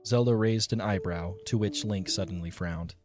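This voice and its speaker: Man narrating a story, low voice. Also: normal speed. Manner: even, descriptive reading. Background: music.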